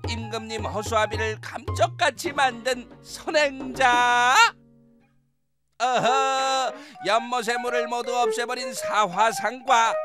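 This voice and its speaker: A fawning royal servant, as a woman puts him on, bowing through formal old court phrases. He speaks slowly and carefully in a middling voice, with music playing behind. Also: fairly high pitch. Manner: servile, formal court speech.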